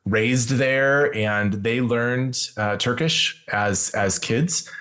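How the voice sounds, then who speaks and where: A man in his fifties from Colorado, a counselor, answering interview questions in a measured way with filler pauses. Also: mid-range voice, a bit bright. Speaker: counselor, podcast guest.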